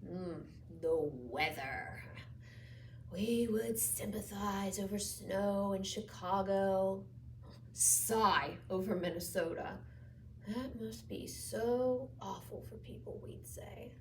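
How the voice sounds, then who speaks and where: A woman playing a wistful, regretful patient in a dramatic stage monologue, wry, quiet and breathy, often close to a whisper. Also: medium pitch, slow, far from the mic, slight background noise, rough recording. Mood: wry, fond. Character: wistful, dependent patient fond of her nurse, quietly regretful.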